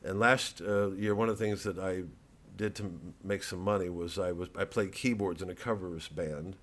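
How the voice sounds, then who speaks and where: A middle-aged American man, a comedian, talking casually in a halting, digressive monologue full of repeats and asides. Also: low voice, steady tone, fairly bright tone. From United States. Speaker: stand-up comedian and satirist. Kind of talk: rambling casual monologue to an audience of fans.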